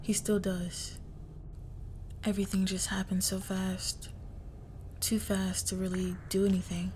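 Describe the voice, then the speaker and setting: A woman playing a crime boss's cold, menacing young daughter in an intimate audio roleplay, speaking in a hushed, deliberate near-whisper. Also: medium pitch, monotone, a little hiss or hum, natural voice. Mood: grim recounting. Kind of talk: intimate scripted audio roleplay, dramatic monologue.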